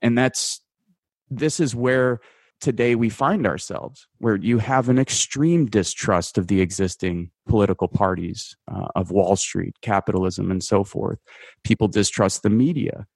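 Man, 30s, radio guest, very deep voice, halting analytical delivery, dry close sound. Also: a little mellow, slightly rough, dry recording. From United States. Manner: measured, hesitant, many fillers and restarts. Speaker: guest writer. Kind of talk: radio interview, analytical commentary.

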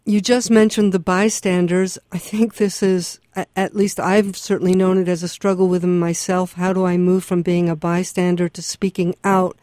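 A woman with a mellow, warm voice asks an author earnest, personal questions. The sound is dry and close. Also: lowish voice, level tone, normal speed, hardly any pauses, dry recording. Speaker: radio interviewer. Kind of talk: interview questions.

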